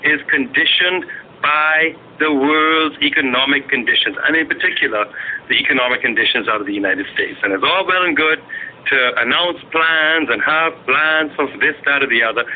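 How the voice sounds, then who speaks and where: A man speaking as a party spokesman in a radio political interview, in a measured, formal, argumentative and hesitant way. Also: medium pitch, lively intonation. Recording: noisy, narrow phone-like radio audio.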